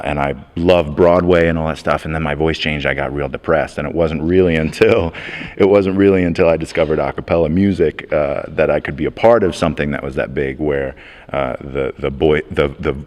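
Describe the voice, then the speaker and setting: A young man from upstate New York, a bass singer, chatting easily in an interview. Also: steady tone, normal speed, darkish tone. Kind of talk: casual interview.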